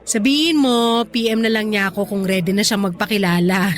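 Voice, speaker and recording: A woman's voice: mid-range voice, steady tone, a little fast, natural voice. An actress playing a playful, stubborn young woman in a radio drama, teasing and argumentative in a conversational way, with a Filipino accent. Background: music bed.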